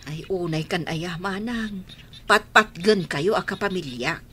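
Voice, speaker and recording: A woman's voice: lowish voice, measured pace, sharp tone, slightly rough, full character voice. A woman with an Ilocano accent playing a supportive younger sister in a radio drama.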